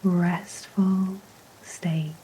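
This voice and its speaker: A woman guiding a sleep hypnosis relaxation in a soft, whispery, gentle and soothing voice, with long unhurried pauses. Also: lowish voice, slight background noise, low-quality recording. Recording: steady rain sounds behind voice. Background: rain ambience.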